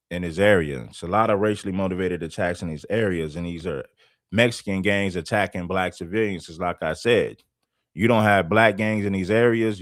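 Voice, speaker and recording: Man, low voice, blunt, argumentative, livestream host, dry sound. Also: no echo. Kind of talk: livestream commentary.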